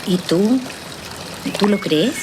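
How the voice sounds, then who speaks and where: An actress with a Chilean accent playing a skeptical, serious psychologist with a questioning manner in a naturalistic audio drama. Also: female voice, mid-range voice, animated, takes it slowly, noisy background. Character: skeptical female trauma psychologist, probing and incredulous.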